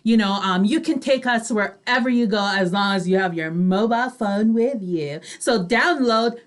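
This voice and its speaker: A woman's voice: mid-range voice, normal speed. A woman co-hosting online radio, upbeat and agreeable as she casually promotes the station. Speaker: online radio show co-host. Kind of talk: casual promotional chat between co-hosts.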